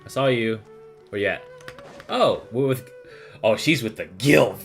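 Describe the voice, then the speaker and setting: A man's voice: medium pitch, expressive, unhurried, vocal fry. Guy streaming a game, casual reactions, mid voice, faint music behind. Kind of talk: casual live gameplay commentary.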